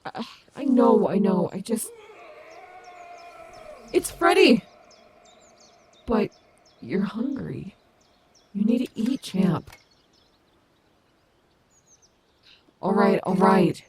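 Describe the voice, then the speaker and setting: A woman's voice: mid-range voice, big pitch swings, takes it slowly, pauses often, noisy background. A woman playing a cocky, protective female animatronic wolf in an audio roleplay, confident, sassy and doting on the listener. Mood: reassuring. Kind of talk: fan audio roleplay acting, addressing the listener.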